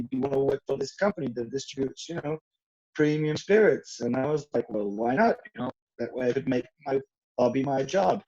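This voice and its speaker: A man's voice: medium pitch, a little mellow. A middle-aged man from Denmark, chatting casually as a guest on a video call, rambling with many fillers and restarts. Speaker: guest, rum brand owner. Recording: dull lo-fi video call audio.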